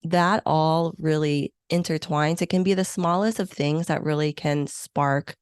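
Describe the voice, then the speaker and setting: A woman's voice: deep voice, fairly even intonation, measured pace, clean tone, no echo, studio quality. A woman in her thirties, a Hong Kong–born meeting planner, speaking as a guest in an interview with an enthusiastic, reflective manner. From born in Hong Kong, grew up in the U.S. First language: Chinese or Vietnamese. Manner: enthusiastic, reflective, uses many fillers. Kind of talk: conversational interview.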